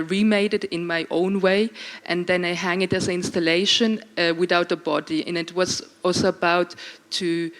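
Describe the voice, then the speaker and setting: A woman artist from Ukraine, raised in Switzerland, speaking calmly and thoughtfully on a panel about her work. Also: fairly low pitch. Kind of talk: reflective panel talk. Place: panel discussion at an art event venue.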